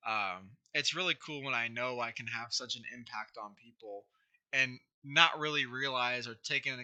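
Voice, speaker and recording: Young guy on a livestream, hoarse scratchy throat, super bright voice, rambles with lots of ums. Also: mid-range voice. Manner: rambling, many fillers and restarts. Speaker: YouTube creator hosting a livestream. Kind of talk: casual livestream chat answering viewers.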